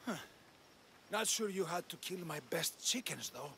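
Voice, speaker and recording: A cranky oddball farmer in a game cutscene, gravelly and swooping in pitch. He is gruff, ungrateful and full of schemes, with ambience behind him. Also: animated, normal speed, pushed and bright, exaggerated voice. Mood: grumpy, dismissive. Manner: gruff, dismissive. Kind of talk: video game cutscene acting. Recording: clear ambient noise behind.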